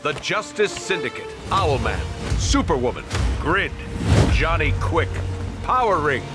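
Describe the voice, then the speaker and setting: A boastful superhero proclaims in a fairly high, lively voice full of pomp. Loud game music rolls behind him. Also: male voice, a little slow. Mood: pompous. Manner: booming, pompous, heroic. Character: boastful square-jawed male superhero.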